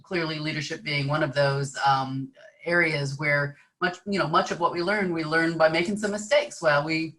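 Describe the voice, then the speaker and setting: Measured woman interview host. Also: deep voice, fairly even intonation, fairly bright tone, rough recording. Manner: measured, searching for words. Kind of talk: interview question.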